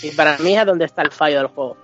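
A man's voice: on the high side, racing, a bit bright. A man with an accent from Spain chatting casually and bluntly on a video game podcast, swearing freely and speaking with emphasis. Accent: Spanish from Spain. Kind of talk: casual chat. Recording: dull lo-fi sound, music behind.

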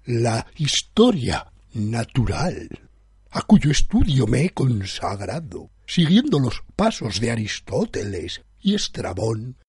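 A man narrating a literary first-person story in a measured, formal, theatrical manner. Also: mid-range voice, darkish tone, breathy edge, no echo, clean studio sound. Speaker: narrator, reader.

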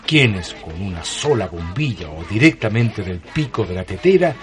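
Animated low-voiced Chilean male storyteller. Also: normal speed. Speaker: narrator. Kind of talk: scripted dramatic storytelling narration.

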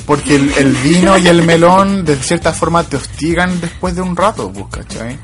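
A man's voice: on the high side, normal speed. Chilean man chatting on the radio. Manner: chatty, laughing, relaxed slang. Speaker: radio co-host. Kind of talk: casual radio chat.

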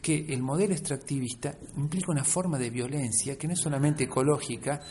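A man's voice: fairly high pitch, brisk, darkish tone. A man with an Argentine Spanish accent explains things in a radio interview, emphatically and discursively, often checking in with short tag questions. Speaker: interviewed academic researcher and author. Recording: clear background noise.

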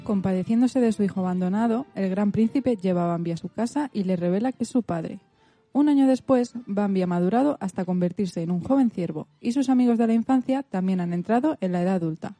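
A woman's voice: mid-range voice, fairly quick, dark tone, no echo, faint music behind. A woman reading a story summary as a scripted narration.

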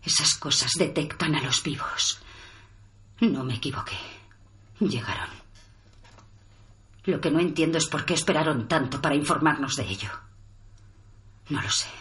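A stern woman in command of a special forces squad. Her voice sits on the low side, and her delivery is firm and clipped, in the military manner of a serious radio drama. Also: expressive, normal speed, long pauses, a bit bright. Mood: grave, reporting.

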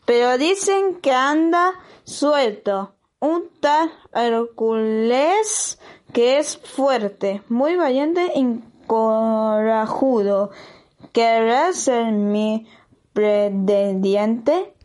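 A high-voiced reader with an Argentine accent, a woman by sound, voicing a boastful, hideous many-headed lake monster in a slow storybook reading. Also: expressive, a little mellow. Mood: lovelorn.

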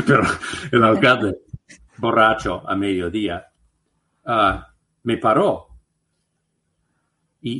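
Slow halting man. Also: on the low side, a bit bright. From United States. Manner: slow and halting, many restarts and filler sounds. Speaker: guest researcher. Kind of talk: interview answers, anecdotal.

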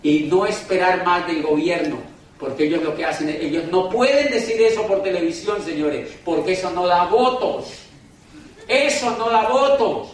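A man with a rather high voice delivers an emphatic, sermon-like business pep talk to a live audience. He drags out key words and keeps addressing the crowd directly. The recording is distant and booming with room echo, with faint noise underneath. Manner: dramatic slowdowns and pitch swings for emphasis. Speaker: business motivational speaker. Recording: echoey hall from far off, faint noise.

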